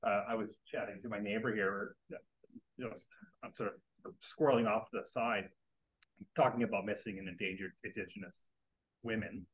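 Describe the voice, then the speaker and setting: Earnest rambling man with a husky voice. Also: on the low side, steady tone, narrow, tinny audio. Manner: earnest, rambling, halting, full of restarts. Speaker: book club audience member. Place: library meeting room.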